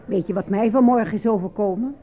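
Een actrice die in een hoorspel een brutale, spottende, grofgebekte stadse vrouw speelt, theatraal van toon. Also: female voice, mid-range voice, fast, lightly acted. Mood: indignant storytelling. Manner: coarse, theatrical. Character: brash, mocking, foul-mouthed city woman. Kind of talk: radio play acting. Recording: noisy, phone-like old radio recording.

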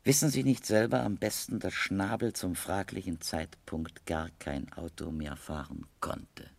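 An actor playing an authoritative, methodical police captain who questions formally and insistently in a 1970s radio crime play. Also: male voice, deep voice, steady tone, unhurried, creaky, dry recording, heavily acted. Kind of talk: 1970s German radio crime drama acting.

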